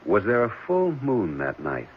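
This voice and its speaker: A gently probing, concerned man in a vintage radio drama. His voice sits fairly low and moves expressively up and down. The old recording sounds muffled. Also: wildly swooping pitch, unhurried, slight background noise. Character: concerned, gently probing adult man, prospective foster father. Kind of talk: 1970s radio drama acting.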